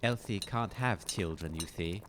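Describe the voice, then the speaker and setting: An actor playing an eccentric, gallant inventor in an audio comedy drama, grave and theatrical, declaiming dramatically. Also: male voice, sits low, moderate pace, a bit bright, a little hiss or hum. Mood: proud, matter-of-fact.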